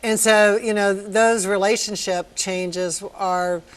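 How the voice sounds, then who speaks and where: A woman from Northern Virginia working as a city manager, giving articulate, measured answers in a TV interview with occasional ums. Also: medium pitch, steady tone, poor audio. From Northern Virginia, USA. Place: TV studio.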